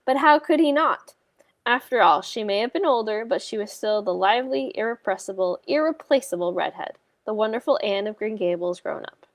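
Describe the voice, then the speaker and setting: A woman's voice: medium pitch, expressive, fairly quick, no echo, clean studio sound. A woman hosting a casual book discussion on a video call, talking in a hesitant, rambling way with lots of "like". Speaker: book club livestream host.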